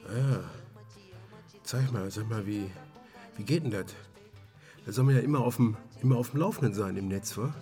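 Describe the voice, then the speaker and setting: A man acting in a German radio drama as a harried, evasive murder suspect, speaking in a halting, colloquial way. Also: mid-range voice, dark tone, faint music behind, close to an everyday voice. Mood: sheepish, halting. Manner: halting, colloquial, stammering and trailing off.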